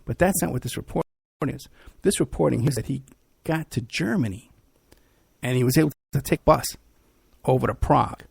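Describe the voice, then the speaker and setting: A man hosting a podcast, ranting in an animated, repetitive talk-radio monologue, hoarse and losing his voice. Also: medium pitch, brisk, dry recording. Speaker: podcast host.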